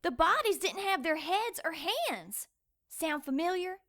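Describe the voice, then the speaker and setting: A woman's voice: very high-pitched, sing-song, a little slow, fairly bright tone, exaggerated voice. A woman playing a suspicious, frightened young small-town woman in an audio drama, speaking in an accusatory, confrontational way. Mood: accusing, nervous.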